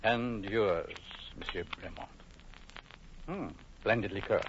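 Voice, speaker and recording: A man acting a suave, jealous doctor in old radio horror, courteous and insinuating, with deliberate, menacing pauses. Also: lowish voice, vocal fry. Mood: smoothly probing. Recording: muffled old radio broadcast, faint hiss.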